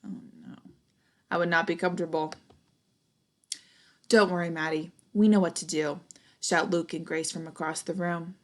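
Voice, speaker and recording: A young adult woman reading a children's picture book aloud expressively, pausing to put questions and comments to young listeners. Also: on the low side, steady tone. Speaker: storybook reader, teacher.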